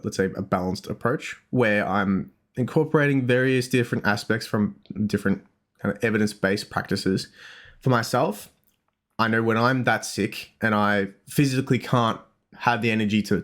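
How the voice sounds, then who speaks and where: Rambling Australian man, lowish voice. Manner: rambling, self-deprecating, frequent restarts. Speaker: dietitian podcast host. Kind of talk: casual podcast chat.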